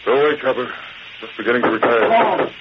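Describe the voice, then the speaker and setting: Actor as smooth, menacing crime boss, old radio. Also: male voice, medium pitch, sing-song, natural voice. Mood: irritated, commanding. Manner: mock-polite, sardonic, unhurried threats. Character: fat, smooth-talking older male crime boss, menacing and wheedling. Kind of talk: 1940s radio drama acting. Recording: muffled, noisy old radio recording.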